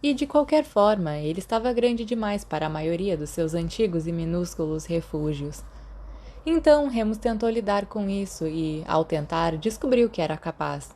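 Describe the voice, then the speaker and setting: A woman's voice: fairly low pitch, lively intonation, moderate pace, dark tone. A woman with a Brazilian accent reading a story as a scripted audiobook narrator. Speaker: podfic narrator.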